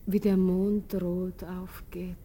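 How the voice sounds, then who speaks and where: A woman's voice: lowish voice, flat delivery, slow pace, dark tone, slight background noise. An actress playing an uneasy young woman, wary of her lover, in short plain phrases in a radio drama. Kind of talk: literary radio drama acting.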